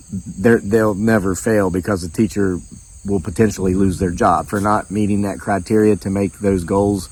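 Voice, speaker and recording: A hoarse man hosting his own channel, talking to camera in an indignant, folksy way and reading out viewer comments. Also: on the low side. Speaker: channel host.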